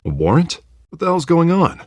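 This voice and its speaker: American DA in a game, loyal friend type, earnest n excitable, really up and down intonation, dry studio sound. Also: male voice, a little high, moderate pace, no room sound. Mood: frustrated. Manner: earnest, emphatic, colloquial. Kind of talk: video game voice acting.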